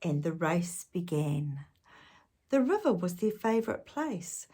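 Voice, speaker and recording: Lady reading a kids story, really slow, bit husky, expressive. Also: fairly low pitch. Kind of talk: children's storybook read-aloud with asides to the listener.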